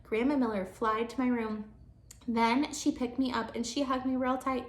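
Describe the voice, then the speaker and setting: A woman with a very high voice reads a children's storybook as a teacher would for her pupils. The recording has an echoey room sound. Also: little pitch movement, normal speed. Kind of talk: children's storybook read-aloud.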